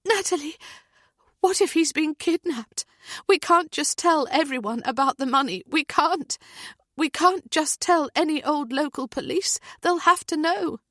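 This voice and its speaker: A woman's voice: sits high, lively intonation, unhurried, a bit bright, dry recording, studio quality, voice pushed up. A woman voicing an anxious young woman, speaking in frantic, urgent bursts. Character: anxious young woman, panicking over missing partner.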